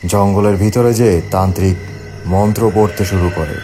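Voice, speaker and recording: A man's voice: on the low side, fairly even intonation, moderate pace, over music. A man reading scripted narration for a horror story.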